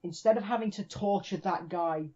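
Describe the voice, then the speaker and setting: A man's voice: high-pitched, normal speed, dark tone. Man in lo-fi podcast chat, restarting sentences. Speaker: podcast co-host. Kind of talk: casual discussion of a TV show. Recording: dull lo-fi sound.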